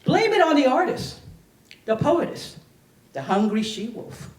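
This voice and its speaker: In an echoey room, a middle-aged woman recites poetry slowly and theatrically. Her pitch swings widely from phrase to phrase, and she leaves deliberate pauses between them. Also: sing-song, a little mellow. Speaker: poet performing at a library reading. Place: indoor library event space. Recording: echoey room, public event recording.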